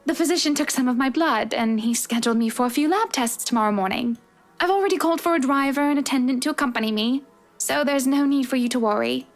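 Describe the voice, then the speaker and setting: An actress playing a patient, gently teasing young wife in a visual novel game, soothing and reassuring. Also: female voice, high voice, fast. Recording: game music behind. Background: music.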